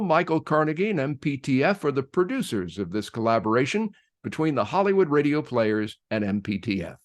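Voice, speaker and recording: Man presenting a scripted program introduction. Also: mid-range voice. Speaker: host introducing a radio play.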